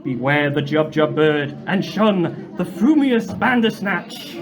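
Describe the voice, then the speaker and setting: Theatrical man reciting, widely swinging pitch. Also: animated, slow, a crowd in the background. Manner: theatrical, emphatic. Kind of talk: slow dramatic verse recitation.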